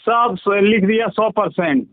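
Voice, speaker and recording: A high-voiced man speaks Hindi with a Jharkhandi accent on a muffled, lo-fi phone call. He explains things step by step in a halting way, often repeating his phrases. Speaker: MP's representative, interviewee. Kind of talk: phone conversation explaining a complaint. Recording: dull lo-fi phone call recording.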